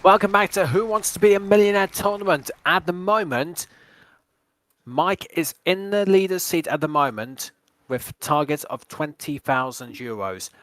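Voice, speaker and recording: A man hosting a quiz show with measured, formal delivery that sometimes restarts its phrases. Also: high-pitched, fairly bright tone, dry recording.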